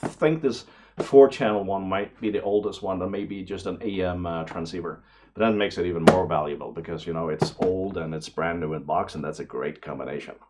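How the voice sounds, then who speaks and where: A middle-aged man talking casually and excitedly as he hosts an unboxing video, rambling along with frequent exclamations. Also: sits low, a little fast, background noise. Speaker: video host.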